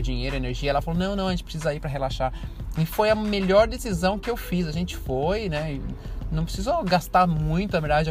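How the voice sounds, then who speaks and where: A middle-aged man with a Brazilian Portuguese accent hosts a podcast with a slightly higher voice than most men. He speaks in a friendly, rambling way, often restarting his sentences. Music plays clearly behind him. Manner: friendly, conversational, many fillers and restarts. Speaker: podcast host and Portuguese teacher. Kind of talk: casual reflective podcast monologue. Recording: music playing clearly in the background.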